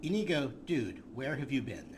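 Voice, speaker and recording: A man's voice: mid-range voice, very animated, moderate pace, background noise. A man hosting a playful let's play, with a wry, mock-grandiose way of commenting. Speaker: gaming video host.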